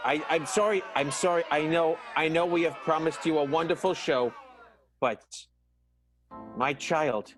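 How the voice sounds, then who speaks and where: A man's voice: a little high, measured pace, a bit bright, soft background music. An actor playing a flustered, grieving male theatre owner who stammers and repeats his words in an improvised radio play. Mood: grave.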